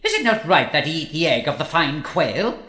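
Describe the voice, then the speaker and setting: A man's voice: on the high side, very animated, sharp tone, roomy sound. A man playing a pompous, obsequious and menacing lord of a royal council in a comedy audio drama, with a formal manner. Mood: scornful, menacing. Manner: formal, pompous, drawn-out delivery.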